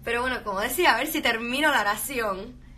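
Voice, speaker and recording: Young woman, Cuban-accented Spanish, chatty bilingual live-streamer, bright voice, close phone mic. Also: fairly high pitch, fast, slight background noise. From Venezuela. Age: young adult. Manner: chatty, lots of fillers, laughs often. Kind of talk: casual bilingual live chat, switching between Spanish and English. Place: bedroom indoors. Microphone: phone held close.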